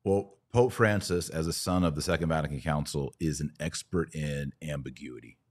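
A man's voice: very deep voice, moderate pace, a little mellow, husky. A man hosting a podcast, giving conversational religious commentary with emphatic, repeated phrasing. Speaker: podcast host.

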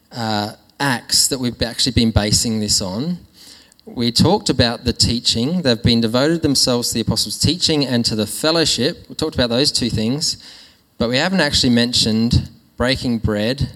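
A man preaching to his congregation in a relaxed, conversational sermon, often restarting his phrases. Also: mid-range voice. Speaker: pastor. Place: church hall. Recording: long hall reverb.

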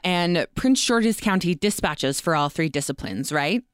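A woman hosting a podcast in a conversational interview style, with a smooth, clear voice. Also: lowish voice, lively intonation, measured pace, no echo, studio quality.

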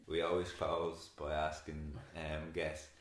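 Deep-voiced male host, echoey room. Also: monotone, slow pace, a little hiss or hum. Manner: brief agreeable interjections. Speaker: podcast host. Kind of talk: interview.

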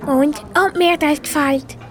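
A woman's voice: sits high, steady tone, unhurried. A female performer with a Swiss German accent playing a small, polite, earnest bear in an amateur radio play. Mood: pleased. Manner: polite, hesitant. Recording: faint background noise.

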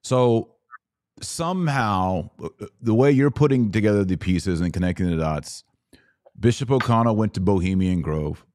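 A man with a low, deep voice asks questions and thinks out loud as a podcast host. He, and the sound is dry and close. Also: expressive, moderate pace, dry recording. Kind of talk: casual podcast conversation.

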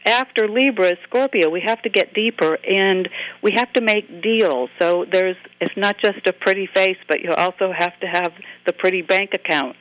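A woman's voice: mid-range voice, normal speed, a bit bright, no echo, poor audio. A woman giving a casual astrology forecast as a guest, chatty and thinking aloud as she goes.

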